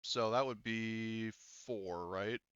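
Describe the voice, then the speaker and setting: A man's voice: lowish voice, slow, a bit bright, clean tone, dry recording, highs cut off. A man acting as game master in a tabletop roleplaying game, thinking aloud through rules and dice math.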